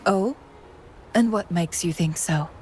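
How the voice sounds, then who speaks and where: A woman's voice: fairly low pitch, slow pace, a little mellow, soft background music. A woman playing a gracious, composed, subtly teasing strategist in a video game, speaking formally and politely. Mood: gracious, soft.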